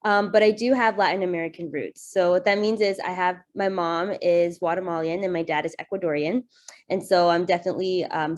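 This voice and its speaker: Woman, earnest webinar presenter. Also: fairly low pitch. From Canada. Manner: earnest, conversational, personal storytelling. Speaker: youth program coordinator presenting.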